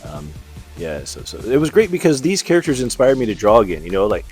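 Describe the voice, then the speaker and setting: A middle-aged man giving a casual, rambling commentary on artwork in an informal, halting, self-deprecating way. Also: lowish voice, big pitch swings, darkish tone. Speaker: artist showing his portfolio. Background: background music.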